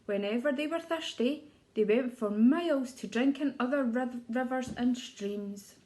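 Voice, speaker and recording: A woman's voice: sits high, a little slow, a little mellow, slight background noise. A woman reading a children's storybook aloud like an early years teacher, expressively, putting questions to her young listeners.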